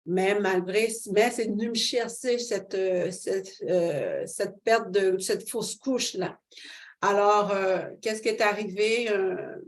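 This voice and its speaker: A woman's voice: medium pitch, takes it slowly. A middle-aged woman, giving a fervent personal faith testimony as an interview guest, with many fillers. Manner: fervent, expressive, with frequent fillers and laughs.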